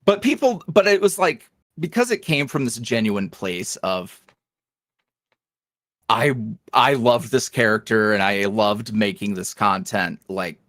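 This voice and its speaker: Man, interview guest, casual and self-deprecating, very lively intonation, dry sound. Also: on the low side, unhurried, no echo. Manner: animated, self-deprecating, chatty with many fillers. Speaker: guest, ASMR roleplay creator.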